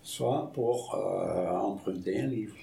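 An older man from the Netherlands with a Dutch accent, a retired university lecturer, speaking in a halting way and repeating words as he searches for them. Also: fairly low pitch, vocal fry, dark tone, roomy sound. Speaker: volunteer librarian. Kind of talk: casual interview chat.

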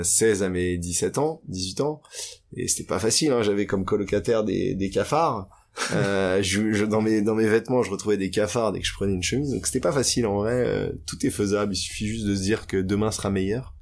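Young guy, lowish voice, open and halting storyteller. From France. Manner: candid, hesitant, many fillers and restarts. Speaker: guest. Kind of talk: casual interview, personal story.

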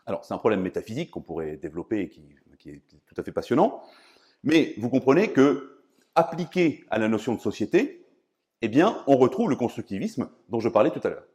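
A man from France lecturing on philosophy in a didactic, emphatic way, often pausing to search for words. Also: medium pitch, very animated, a little mellow. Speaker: priest and philosophy professor giving a lecture. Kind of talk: public philosophy lecture, semi-improvised.

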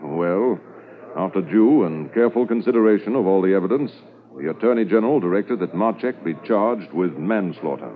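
A man playing a seasoned, proud police officer recounting old cases in a measured way in a 1950s radio drama. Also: sits low, lively intonation. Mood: proud, reflective. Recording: tinny 1950s radio recording.

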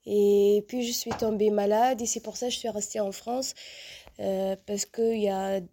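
A woman's voice: mid-range voice, fairly even intonation, measured pace, warm tone, slight background noise. A woman giving personal testimony as an interviewee. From Algeria.

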